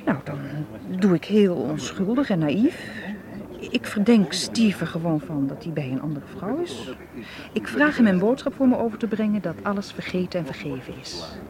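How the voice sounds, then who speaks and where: An actress in a radio play playing a stubborn, determined young woman with a brisk, defiant manner. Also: lowish voice, sing-song. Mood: defiant, resolute. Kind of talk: radio drama acting. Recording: radio play with crowd murmur behind.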